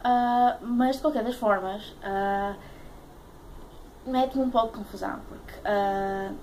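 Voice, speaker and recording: A woman's voice: mid-range voice, steady tone, slow pace, darkish tone. A woman in her late twenties with a European Portuguese accent, talking casually to camera as a vlogger, hesitant and searching for words. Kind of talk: casual talk to camera, airing a pet peeve. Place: small room at home, indoors. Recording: clearly noisy home webcam audio.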